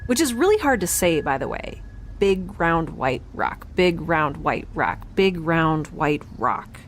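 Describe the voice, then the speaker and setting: A woman playing an excitable, awkward, optimistic young space traveler in a science fiction audio drama, rambling in informal speech. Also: low voice, unhurried, a little hiss or hum. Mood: muttering, dazed.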